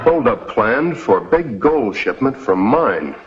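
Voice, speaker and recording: A man's voice: medium pitch, big pitch swings, takes it slowly, loud music behind the voice. An American actor playing a resolute, friendly lawman in an old TV western. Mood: determined. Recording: dull vintage TV western soundtrack.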